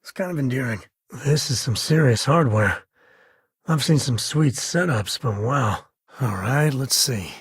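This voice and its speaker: An actor playing a rugged, folksy space-frontier ranger and devoted father in a video game, with a husky voice and an easygoing, sincere way of talking. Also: mid-range voice, expressive, measured pace, no echo, studio quality, exaggerated voice. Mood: casual, curious. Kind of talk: acted game companion dialogue.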